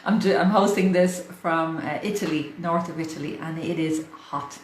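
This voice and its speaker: Irish woman, forties, livestream host, chatty with easy laughs, lowish voice, roomy echo with a faint fan hum. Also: normal speed. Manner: chatty, warm-hearted, laughs often. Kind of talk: casual livestream chat. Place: hot room in a house. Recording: echoey room with faint fan noise. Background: fan running.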